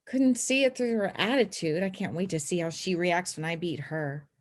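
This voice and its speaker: A woman's voice: on the low side, normal speed, natural voice. A woman playing a stubborn teenage girl snowboarder in a screenplay table read, with a dry, sarcastic manner. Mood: indignant.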